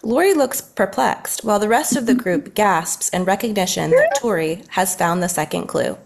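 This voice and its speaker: A woman's voice: medium pitch, animated, normal speed, gravelly. A woman narrating stage directions in a scripted table read.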